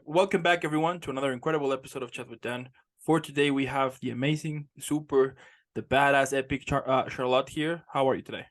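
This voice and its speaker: A man's voice: medium pitch, steady tone, fast talker, soft background music. Man, young, podcast host, effusive, upbeat, casual interview. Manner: upbeat and effusive, piling on superlatives.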